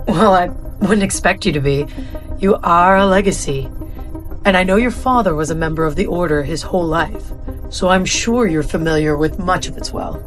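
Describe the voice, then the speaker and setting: An actress playing a composed, authoritative facility guide, speaking formally and explaining things in an audio drama. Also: female voice, on the low side, normal speed, soft background music, natural voice. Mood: composed, assured.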